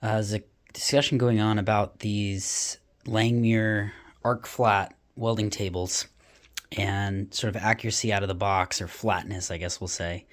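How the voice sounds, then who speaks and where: Low-voiced man testing a welding table. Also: a little slow. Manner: relaxed, thinking aloud with fillers. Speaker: hobbyist welder demonstrating a test. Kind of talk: casual hands-on workshop demonstration. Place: small home workshop garage. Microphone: camera worn on the body, point-of-view framing.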